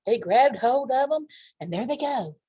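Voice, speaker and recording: A woman with a husky voice reading a picture book aloud to young children, pausing for simple asides about the pictures. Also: fairly high pitch, animated, warm tone, no room sound. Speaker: children's library storytime reader.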